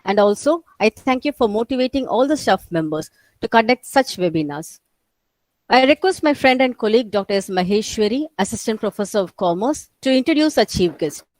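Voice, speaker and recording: A woman's voice: a little high, expressive, brisk, darkish tone, no room sound, poor audio. Dry-sounding woman anchoring a webinar. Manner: polite, ceremonial, formal phrasing. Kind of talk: formal anchoring of an academic event. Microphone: video call.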